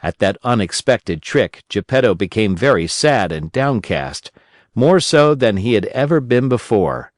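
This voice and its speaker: Male narrator for children's audiobook: gentle storyteller, fairly deep, very varied intonation. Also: big pitch swings, a little slow, dry recording, studio quality.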